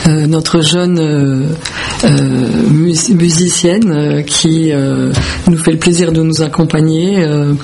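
A woman from Belgium with a creaky voice, answering as a musician in a radio interview in halting, repetitive phrases. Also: deep voice, fairly even intonation, darkish tone, slight background noise. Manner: hesitant, halting phrasing with repeated words. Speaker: musician, founding member of a band.

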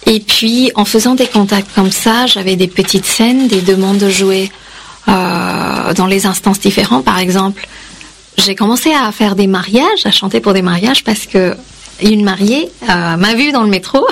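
A woman's voice: medium pitch, normal speed, vocal fry. A woman from India raised in Yemen, a native Kannada speaker, answering interview questions as a singer, reflective and hesitant with fillers. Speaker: singer, guest.

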